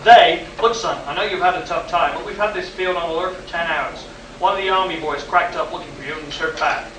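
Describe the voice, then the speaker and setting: An actor playing a skeptical, exasperated rocket base boss in a live sci-fi radio play, no-nonsense and pressing. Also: male voice, a little high, fairly quick, natural voice. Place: live performance in a room at a convention. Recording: echoey, distant, noisy live convention stage recording.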